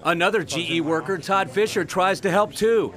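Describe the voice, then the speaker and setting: A male news reporter with a high, light voice reads a scripted voice-over. He leans into key phrases with emphatic storytelling delivery, recorded cleanly on a studio mic. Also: moderate pace, fairly bright tone.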